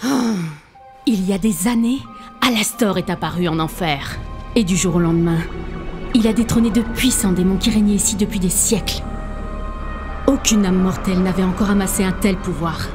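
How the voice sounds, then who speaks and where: A woman's voice: medium pitch, normal speed, music in the background, lightly acted. A woman playing a wary, protective young demon in a cartoon fan dub, earnest and dramatic. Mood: ominous, dramatic.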